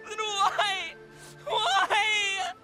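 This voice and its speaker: An actor voicing a frightened, grieving young orphan boy in a video game, halting and pleading through tears. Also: extremely high pitch, sing-song, bright, forceful tone, soft background music, full character voice. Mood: anguished, sobbing. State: crying. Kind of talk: dramatic video game acting.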